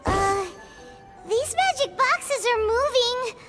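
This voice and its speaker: Squeaky, very high-pitched little fairy companion. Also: female voice, expressive, takes it slowly, exaggerated voice. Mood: curious, uneasy. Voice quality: squeaky, childlike. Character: tiny childlike female fairy companion, excitable and curious. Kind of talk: video game character acting. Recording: loud music behind the voice.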